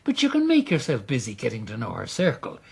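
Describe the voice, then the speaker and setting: An actor playing a worldly, affectionate older uncle in a radio drama, husky and theatrical. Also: male voice, medium pitch, wildly swooping pitch, fast, a little mellow, full character voice. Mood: gleeful, urging. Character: worldly, excitable, scheming older uncle, fond of his nephew.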